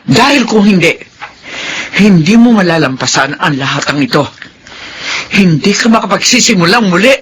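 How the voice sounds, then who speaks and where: A woman's voice: lowish voice, expressive, normal speed, slightly rough. A woman playing a stern, emotional older mother in a Filipino radio drama, speaking dramatically and emphatically. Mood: stern, accusing.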